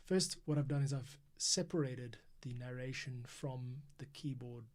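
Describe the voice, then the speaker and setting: A man's voice: medium pitch, measured pace, darkish tone. A man thinking aloud in a casual, explanatory way as he narrates a behind-the-scenes audio editing screencast. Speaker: composer and podcast editor. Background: music playback from the editing session.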